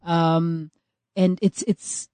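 A woman's voice: lowish voice, little pitch movement, extremely slow, warm tone, no echo. A middle-aged South African woman speaking thoughtfully in a casual chat, often laughing. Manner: thoughtful, reflective, laughs easily. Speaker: guest.

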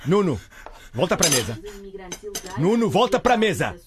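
A man's voice: high-pitched, very animated, brisk, background noise. A man playing a stern, short-tempered father in a radio drama, with a harsh, commanding manner. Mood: angry, commanding.

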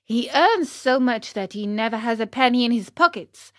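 A woman voicing a naive, excitable young marionette boy in a storybook, earnest and emphatic. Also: a little high, moderate pace, no echo, clean studio sound, natural voice. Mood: pitying, earnest. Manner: eager, emphatic, exclamatory.

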